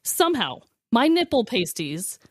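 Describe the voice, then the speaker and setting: A woman with a fairly high voice chats as a podcast host, candid and comedic. The sound is close and dry, with no room echo, and she tells stories in a loose, conversational way. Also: sing-song, unhurried. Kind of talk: casual comedic storytelling.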